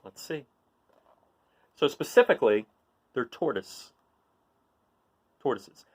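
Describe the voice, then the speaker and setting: A man reads a children's picture book aloud as its host. His pitch is highly animated and moves widely around a middle register. He is encouraging and talks to his listeners as if in a guessing game. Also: slow pace. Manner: encouraging, asks listeners questions.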